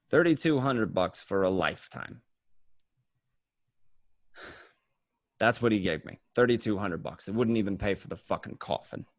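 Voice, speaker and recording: A man's voice: on the low side, dry recording, studio quality. A man acting an anxious, weary mobster on the run in an amateur radio play, moving between confession and tense dialogue. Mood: bitter, tense. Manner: first-person confessional narration and tense dialogue.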